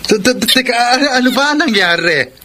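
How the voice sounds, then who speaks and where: An actor playing a concerned, cautious young best friend who stammers hesitantly, in a Filipino radio drama with a Filipino accent. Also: male voice, extremely high pitch, animated, brisk, very noisy. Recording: loud music under the voice.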